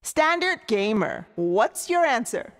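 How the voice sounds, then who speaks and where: A woman voicing a cheerful animated quiz show host, presenting in an encouraging, emphatic scripted style. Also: on the high side, slow. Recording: reverberant hall with clear crowd noise.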